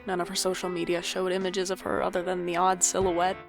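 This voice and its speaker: A woman's voice: lowish voice, completely flat delivery, a little fast, faint music behind, natural voice. A woman playing an anxious, sardonic older sibling in an audio drama, with a dry, wry, self-deprecating way of talking. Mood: wry, uneasy. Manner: dry, wry, theatrical sarcasm. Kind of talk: audio drama acting with first-person narration.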